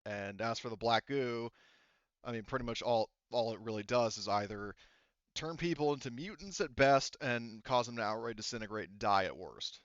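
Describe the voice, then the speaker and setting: A man improvising tabletop game narration as game master, often halting and rephrasing mid-sentence. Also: medium pitch, fairly bright tone, no echo, lo-fi sound.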